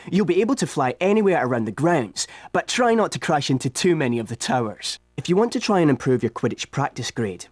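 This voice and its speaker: A keen school sports coach in a video game. He instructs briskly in a fairly high, animated voice, with light music behind. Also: breathy edge, no echo.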